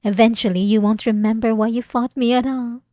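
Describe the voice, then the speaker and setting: A woman playing an obsessive, possessive, centuries-old vampire in an ASMR roleplay, speaking intimately and deliberately with dramatic pauses. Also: on the high side, fairly even intonation, dark tone, no room sound, clean studio sound, lightly acted. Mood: menacing, controlled.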